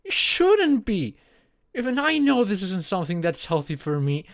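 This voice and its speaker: A young man playing a shy, anxious friend in an intimate audio roleplay, speaking haltingly with sighs and murmurs. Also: high voice, huge pitch swings, dry recording, studio quality, exaggerated voice. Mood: distraught, tearful. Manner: halting, sighing, breathy murmurs rising to tearful outbursts.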